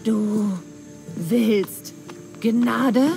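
A woman voicing a commanding, menacing pirate queen in a video game, speaking deliberately and with threat. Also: fairly high pitch, fairly even intonation, gravelly, heavily acted. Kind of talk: video game cutscene dialogue. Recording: music behind the voice.

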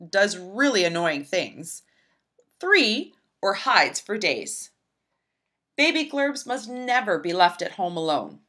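Animated, bright-voiced woman reading aloud. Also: fairly high pitch. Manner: animated, emphatic, storybook delivery. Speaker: librarian reading a picture book aloud. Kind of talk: expressive children's read-aloud narration.

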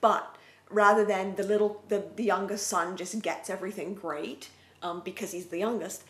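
A woman's voice: medium pitch, fairly even intonation, normal speed. A woman in her forties, co-hosting a casual family vlog and talking about books. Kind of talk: casual book chat to camera. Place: indoors, living room on a couch. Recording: roomy indoor sound.

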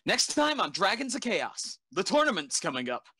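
A man narrates a dramatic episode preview in a high, bright voice. His pitch swings widely, and the sound is dry and close. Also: very animated, normal speed, slightly rough, dry recording.

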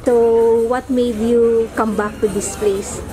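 A woman's voice: fairly high pitch, steady tone, slow pace, poor audio. A woman asking questions in a casual on-location interview. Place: indoors, restaurant. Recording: clear restaurant crowd noise. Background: restaurant crowd.

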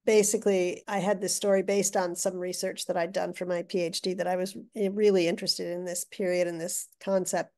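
An older woman, a guest author, telling stories in a chatty, animated way with restarts, heard over a video call. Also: lowish voice, a little fast, hardly any pauses. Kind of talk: casual interview chat. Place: living room with brick wall. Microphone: video call webcam.

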